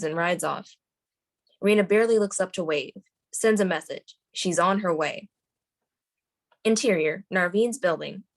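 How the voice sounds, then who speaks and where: Woman narrating a screenplay read. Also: fairly low pitch. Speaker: narrator at a script table read. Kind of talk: reading screenplay stage directions aloud.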